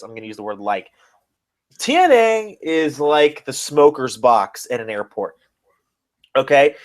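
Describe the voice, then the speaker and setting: A man hosting a casual wrestling podcast, ranting and bantering in an emphatic, sarcastic way. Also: fairly high pitch. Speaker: podcast host.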